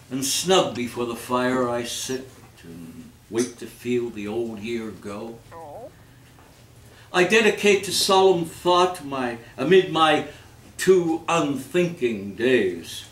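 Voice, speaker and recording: A man reciting poetry in a theatrical, measured manner, with phrases that swell and then fall away. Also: mid-range voice, fairly bright tone. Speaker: reader at a readers theater event. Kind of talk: dramatic poetry recitation with spoken introduction. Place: live reading in a roomy space. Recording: roomy, distant microphone, background noise.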